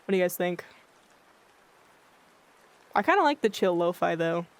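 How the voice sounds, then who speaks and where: Streamer rambling to chat, flat blunt monotone, keeps forgetting what they were saying, mid pitch. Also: normal speed. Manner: monotone, blunt-sounding delivery; rambling, loses track of thoughts. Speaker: livestreamer. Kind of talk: casual chat with live viewers. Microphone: filtered streaming mic.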